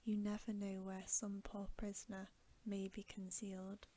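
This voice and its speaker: A noble, commanding storybook lion, voiced by a woman reading ASMR. He gives orders briskly and with authority, in a soft half-whisper. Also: medium pitch, completely flat delivery, slight background noise, lightly acted.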